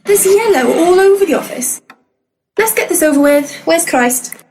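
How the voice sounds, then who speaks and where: A high, bright-eyed young female assistant in a comic audio drama. She is brisk and easily exasperated, keen on the work but indignant at the men she works for. There is noise behind her voice. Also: animated.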